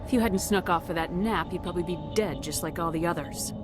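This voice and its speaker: An actress playing a commanding, no-nonsense space soldier in a video game, questioning people directly. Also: female voice, on the low side, fast talker, over music, natural voice. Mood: suspicious. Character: brisk, commanding female space soldier hero. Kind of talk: dramatic game dialogue.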